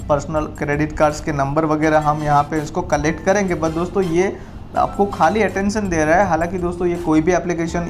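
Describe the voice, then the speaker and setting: A young adult man gives a step-by-step tutorial in a chatty way, addressing his viewers as friends. His voice is medium to slightly high for a man, and music plays behind him. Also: a little mellow. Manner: repeatedly addresses viewers as friends, mixes in English words. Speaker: tech tutorial YouTube host. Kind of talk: tutorial presentation to camera and screen walkthrough. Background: background music.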